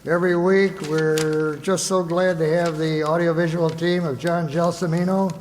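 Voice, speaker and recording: A man hosting a stage show, giving informal thanks to an audience in a halting, rambling, jokey way. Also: on the high side, level tone. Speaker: host of a radio players' stage show. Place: stage in a hall before a live audience. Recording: echoey hall, audience, background noise.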